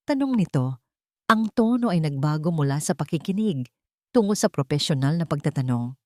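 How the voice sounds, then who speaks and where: A woman's voice: low-pitched, lively intonation, normal speed, mellow, no room sound, studio quality. A woman with a Filipino accent narrating a scripted dramatic story.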